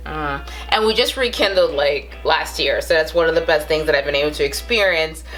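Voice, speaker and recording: A woman's voice: sits low, brisk, fairly bright tone, faint music behind, poor audio. Chatty thirtysomething Brooklyn-accented woman. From the Bronx, New York. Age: 30s. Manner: rambling, chatty, with frequent fillers and self-amused laughs. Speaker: vlogger. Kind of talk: casual chat to camera.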